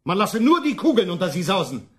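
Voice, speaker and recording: In a very echoey room, a stern preacher speaks in a somewhat high voice. He is merciless and declamatory, a harsh denouncer of rebels in a radio play. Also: big pitch swings, a little fast. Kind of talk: German radio play acting.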